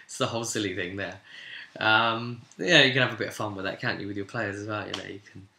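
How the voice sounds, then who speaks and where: Male game master, very bright and forceful voice, rambling casual session recap, roomy echo. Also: lowish voice, quick pace, creaky. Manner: rambling, chuckling storyteller who acts out dialogue. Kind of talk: casual vlog recap of a tabletop role-playing session. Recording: echoey room sound.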